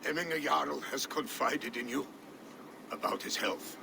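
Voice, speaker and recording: An actor playing an older, earnest Viking healer and adviser who scolds the young lords. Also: male voice, a little high, steady tone, a little slow, creaky. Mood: grave. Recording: game cutscene with ambient noise.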